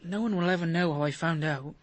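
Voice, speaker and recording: An actor playing a smooth-talking, wheedling man in a radio drama. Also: on the high side, fast, no room sound, close to an everyday voice.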